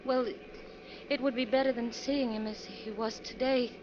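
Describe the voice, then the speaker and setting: Actress as high-voiced anxious young wife. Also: female voice, fairly even intonation, moderate pace, background noise, lightly acted. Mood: anguished. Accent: American. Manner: hesitant, emotional. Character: anxious, sorrowful young wife riding a bus. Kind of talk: 1940s radio melodrama acting. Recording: muffled 1940s radio recording.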